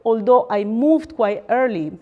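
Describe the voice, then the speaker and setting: A woman from Peru, a barrister giving a formal university lecture in a measured, thoughtful way with frequent pauses. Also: fairly high pitch, lively intonation, narrow, tinny audio. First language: Spanish.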